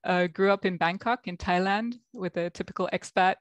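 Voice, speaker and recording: Belgian woman, american accent, lowish voice, thoughtful with lots of ums, dry video call. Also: steady tone, normal speed, no echo. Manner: reflective, many ums and restarts. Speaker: guest, executive coach. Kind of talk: interview over video call. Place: home room.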